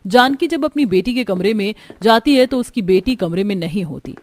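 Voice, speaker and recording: A woman's voice: mid-range voice, animated, normal speed. A woman narrator reading a scripted family story for a cartoon.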